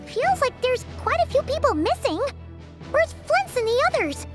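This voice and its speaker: An actress voicing a small, childlike, inquisitive companion in a video game. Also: female voice, very high voice, big pitch swings, normal speed, faint music behind. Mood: puzzled, curious.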